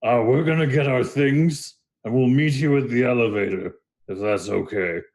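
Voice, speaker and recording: A man acts out a huge, imposing Minotaur blacksmith for a tabletop game. The beast is gruff and skeptical, lifted above the player's usual pitch. He drags his words out slowly with heavy sarcasm. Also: darkish tone, natural voice. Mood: rallying. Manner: slow, drawn-out, sarcastic delivery.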